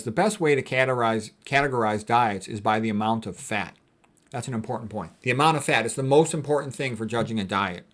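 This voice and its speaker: Guy doing a casual doctor lecture, blunt and opinionated, slangy, hammers his points, mid-pitched voice. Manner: colloquial, emphatic, repeats points. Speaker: physician giving a lecture. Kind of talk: informal lecture commentary on quotes.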